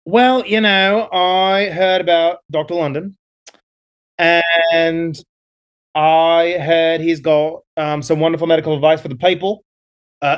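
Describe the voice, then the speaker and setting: Man doing a boastful Australian adventurer, put-on Australian accent, high voice, rambling, filler-heavy. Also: natural voice. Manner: bragging, rambling, many fillers. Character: boastful male Australian adventurer, self-assured. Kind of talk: improvised comedy character.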